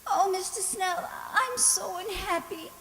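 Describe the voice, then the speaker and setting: Woman playing a very high-voiced, timid young maid in radio drama. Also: measured pace, a bit bright, long reverb tail, slight background noise, exaggerated voice. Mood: unhappy, timid. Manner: hesitant, timid, repeats words. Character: frightened, naive young maid held captive, gentle and lovestruck.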